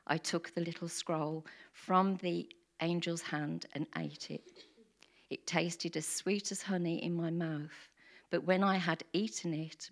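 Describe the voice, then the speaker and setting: A woman with a croaky voice reading scripture aloud to a congregation, careful and measured. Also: deep voice.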